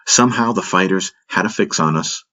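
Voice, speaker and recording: A man reading dramatic first-person story narration as an audiobook narrator, with measured, deliberate phrasing. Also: low voice, sharp tone, slight background noise.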